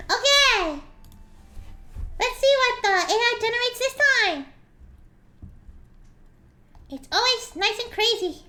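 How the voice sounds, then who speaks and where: A woman streamer with a pitch-raised squeaky voice filter, casually chatting and reading a story aloud, often clearing a scratchy throat. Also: lively intonation, extremely slow, pauses often, pushed and bright. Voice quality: squeaky voice from a slime avatar filter. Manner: giggly, reads story text aloud with voiced quotes.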